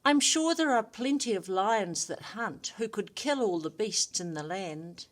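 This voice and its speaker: A woman voicing a small, gentle young male lion in a storybook, earnest and loving. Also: medium pitch, expressive, unhurried, close to an everyday voice. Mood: earnest, bewildered. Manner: bewildered, pleading.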